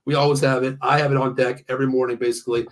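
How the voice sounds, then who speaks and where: A man's voice: medium pitch, little pitch movement, very fast. An American man in his early fifties hosting a casual sports show, talking bluntly and often repeating himself. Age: early 50s. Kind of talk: casual sports banter with ad reads.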